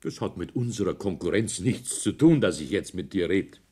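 A husky voice with swinging intonation: an older Bavarian businessman in a radio play. He is calculating and insistent, a father pressing his case. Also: deep voice, big pitch swings, moderate pace, a little mellow. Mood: insistent, defensive. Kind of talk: naturalistic radio drama acting.

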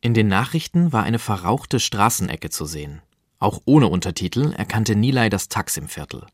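Low-voiced man reading literary prose, dry sound. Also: sing-song, normal speed, husky, no room sound. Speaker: reader of novel excerpts. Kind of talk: literary reading, scripted narration.

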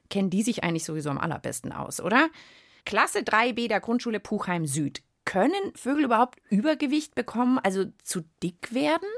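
A woman's voice: mid-range voice, vocal fry, no echo, studio quality. A young woman hosting a children's podcast, chatting playfully and explaining a hands-on experiment to kids. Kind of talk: casual hands-on science chat for kids.

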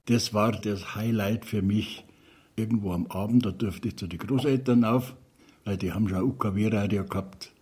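A man's voice: lowish voice, moderate pace, dark tone, a little hiss or hum. An older man, a retired sound engineer, reminiscing in an interview with loose, nostalgic storytelling.

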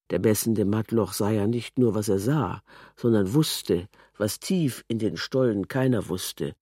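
Low, mellow male literary narrator. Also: dry recording, studio quality.

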